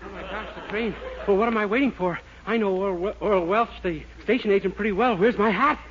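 A man's voice: sits high, fairly quick, a crowd in the background, lightly acted. An actor playing an earnest, anxious young man, bluffing politely and flustered, in a 1940s radio comedy. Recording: very noisy, dull 1940s radio recording.

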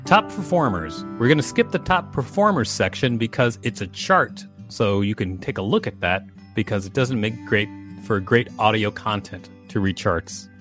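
A man's voice: fairly low pitch, lively intonation, moderate pace, few pauses, a bit bright, soft background music. A man co-hosting a podcast, reading reference text aloud with casual remarks thrown in. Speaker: podcast co-host. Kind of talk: reading an encyclopedia article aloud with casual asides.

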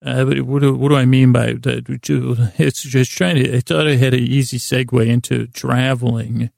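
A middle-aged American man talks in a creaky, gravelly voice that stays very flat. He hosts a meandering bedtime podcast, halting, doubling back and gently putting himself down. The recording is dry and close on the microphone. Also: medium pitch, no echo. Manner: halting, self-deprecating, trails off and circles back. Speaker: sleep podcast host. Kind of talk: rambling, meandering bedtime monologue.

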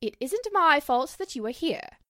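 Lady doing an aggrieved old radio starlet, really high voice, very swoopy. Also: big pitch swings, moderate pace, bright, forceful tone, no echo, clean studio sound, heavily acted. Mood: pleading, defensive. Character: glamorous, aggrieved actress. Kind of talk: 1940s radio drama acting.

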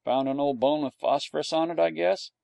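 A man reading a story, voicing a shy, gruff working man who speaks plainly and matter-of-factly. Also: a little high, fairly even intonation, brisk, mellow, dry recording.

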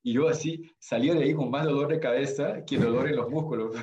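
A man's voice: mid-range voice, normal speed, a little mellow, muffled recording. A man with a Brazilian accent and Portuguese as his first language, telling stories casually as a podcast guest and often searching for words. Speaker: podcast guest, amateur triathlete. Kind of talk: casual conversational storytelling.

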